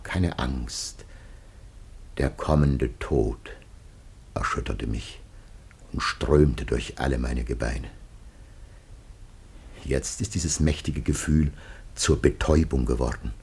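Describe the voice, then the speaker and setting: An actor playing a grave, aged biblical patriarch facing death, declaiming solemnly and haltingly in a classic radio drama. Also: male voice, a bass voice, gravelly, breathy edge, full character voice.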